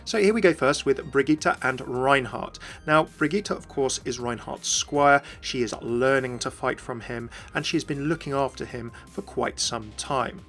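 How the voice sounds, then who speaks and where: A man's voice: mid-range voice, normal speed, few pauses, a bit bright. Male video presenter, mid-range voice, explanatory fan commentary addressed to the audience, light music bed. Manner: explanatory, addresses viewers directly. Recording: voice-over with faint music behind.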